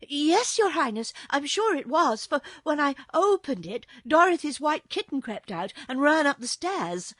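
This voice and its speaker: A woman's voice: big pitch swings, unhurried, few pauses, a bit bright, no echo, heavily acted. A woman voicing a troubled, deferential young palace maid in a storybook, reporting earnestly. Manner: earnest, high-pitched reporting. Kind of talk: storybook character voice.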